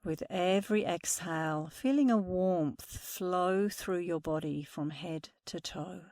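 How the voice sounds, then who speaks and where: A woman meditation instructor speaking softly and soothingly through a guided meditation. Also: lowish voice, no echo, clean studio sound. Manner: slow, soothing, soft-spoken guidance.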